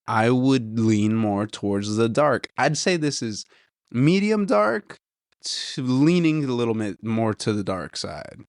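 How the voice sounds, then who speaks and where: A man's voice: on the low side, no echo, clean studio sound. A man hosting a podcast, chatting casually and rambling as he reviews a coffee, a little nasal, saying "dude" and "you know" often. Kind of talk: casual solo chat.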